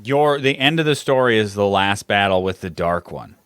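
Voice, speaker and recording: A man's voice: fairly low pitch, animated, moderate pace, fairly bright tone, clean tone, no room sound, studio quality. A man co-hosting a casual podcast, thinking aloud and rambling about running his tabletop game. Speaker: podcast co-host and game master. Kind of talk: casual chat.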